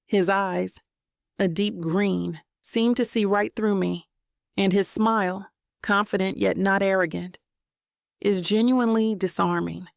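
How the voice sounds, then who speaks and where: A woman's voice: medium pitch, fairly even intonation, measured pace, gravelly, no room sound, studio quality. A woman reading scripted first-person romance fiction as an audiobook narrator.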